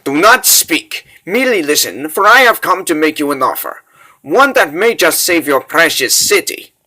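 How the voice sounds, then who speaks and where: A man's voice: fairly high pitch, lively intonation, normal speed, sharp tone, heavily acted. A man voicing a menacing, smug rogue leader in a comic dub, taunting in grandiose phrases. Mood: smug threat. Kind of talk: dramatic comic dub acting.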